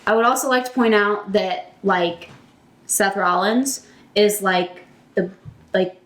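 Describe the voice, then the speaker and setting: A woman's voice: mid-range voice, takes it slowly, a bit bright, reverberant. A woman co-hosting a casual wrestling podcast, talking informally as a fan among friends. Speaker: podcast co-host.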